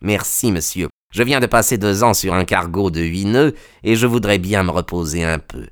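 Man acting a sly, servile old sailor, low-voiced. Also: moderate pace, smooth, dry recording, clean studio sound, close to an everyday voice. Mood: servile, insinuating. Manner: obsequious, faintly menacing.

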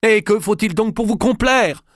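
A man reading an audiobook, voicing an anguished, hot-tempered young medieval king with a high voice that turns shrill in anger. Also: normal speed, a little mellow, no echo, studio quality, lightly acted. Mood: furious. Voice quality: high, shrill when angry. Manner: pleading, then bursting into rage.

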